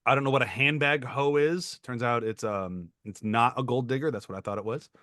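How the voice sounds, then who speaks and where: A man's voice: mid-range voice, brisk, a bit bright, no echo, clean studio sound. A man co-hosting a podcast, rambling casually and laughing as he talks. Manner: rambling, laughs while talking. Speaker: podcast co-host. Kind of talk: casual chat.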